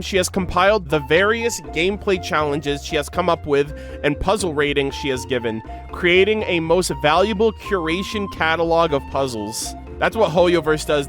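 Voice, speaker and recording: A man's voice: on the high side, a bit bright. A man reading game lore aloud and breaking into casual, slangy commentary as a video creator. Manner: informal slangy asides between measured reading.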